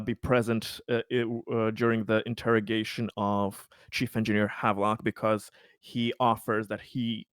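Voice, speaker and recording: A man playing a tabletop roleplaying game in a hesitant, halting way, often trailing off as he explains things. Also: on the low side, no room sound.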